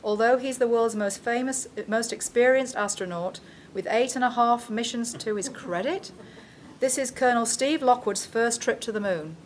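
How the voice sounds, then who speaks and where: British female anchor, fifties, acted by a woman, formal spoof bulletin, fairly high voice. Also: normal speed, slight background noise, natural voice. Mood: brightly formal. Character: British female newscaster in her early fifties. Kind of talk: parody newscast delivery.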